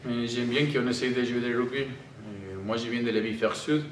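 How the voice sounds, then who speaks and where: A man with an Argentine Spanish accent, speaking halting non-native French as a rugby player answering press questions. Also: mid-range voice, steady tone. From Argentina (Tucumán, in the north). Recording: roomy, distant microphone, audible background noise.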